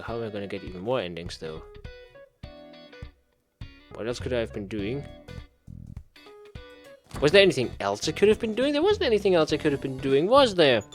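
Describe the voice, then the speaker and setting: A man giving a casual playthrough commentary, reading game text aloud and muttering to himself in repeated phrases. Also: medium pitch. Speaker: gaming video host.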